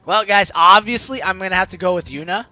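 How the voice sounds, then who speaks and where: A woman's voice: mid-range voice, quick pace, bright, forceful tone, faint music behind. A young woman hosting a let's play, reading game text aloud theatrically with playful, chatty asides. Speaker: gaming YouTuber, host.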